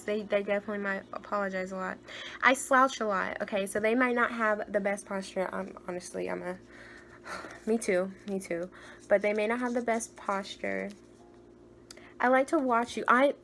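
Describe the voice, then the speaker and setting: A young woman with a higher-pitched voice doing a casual tarot card reading, chatty and gushing, sometimes dropping to soft murmured asides. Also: a little hiss or hum. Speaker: tarot reader.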